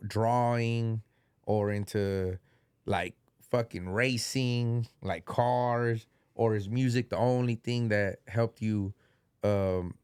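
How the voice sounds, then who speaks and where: A middle-aged man hosts a casual podcast, his voice congested yet smooth and clear. He rambles through fillers and street slang at an easy pace. The sound is dry and close, with no room in it. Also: mid-range voice, no room sound. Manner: rambling, filler-heavy, laid-back slang. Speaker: podcast host. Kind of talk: casual chat.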